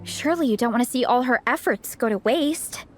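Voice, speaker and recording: Woman acting a high, upbeat young friend. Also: fairly quick, over music, close to an everyday voice. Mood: earnest, persuasive. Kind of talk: English game dub cutscene acting.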